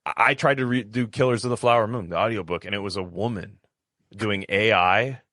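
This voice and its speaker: A man chatting casually as a podcast co-host, speaking with halting, enthusiastic bursts and repeated words. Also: lowish voice, pushed and bright, dry recording, studio quality.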